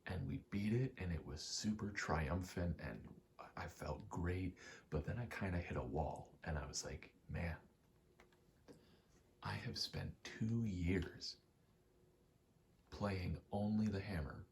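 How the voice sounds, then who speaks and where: A soft-spoken man giving rambling ASMR game commentary, halting and casually profane, often slipping into a whisper. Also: low voice, vocal fry, slight background noise. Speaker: gaming video creator.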